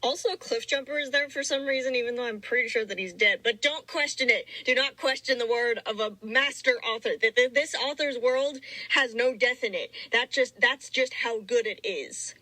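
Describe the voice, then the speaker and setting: A woman's voice: a little high, normal speed, hardly any pauses, bright, forceful tone, dry recording, poor audio. A woman giving a mock-dramatic story reading with casual commentary, sarcastic and mock-grandiose in manner. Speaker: reader of own childhood fanfiction.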